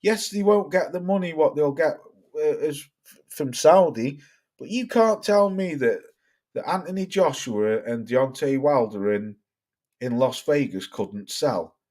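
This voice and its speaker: A man's voice: fairly high pitch, lively intonation, measured pace, darkish tone. Sweary young man with a Cheshire-Stoke accent. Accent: Cheshire English mixed with Stoke. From Cheshire, England. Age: young adult. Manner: blunt, sweary, rambling. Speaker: livestream host. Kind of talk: casual live chat reading viewer comments.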